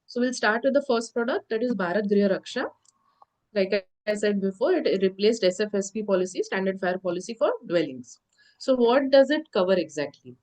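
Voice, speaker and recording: A woman's voice: medium pitch, rough recording. Nasal woman lecturing on insurance. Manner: explanatory, steady, many filler pauses. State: nasal from a cough and cold. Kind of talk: online lecture presentation. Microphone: video call.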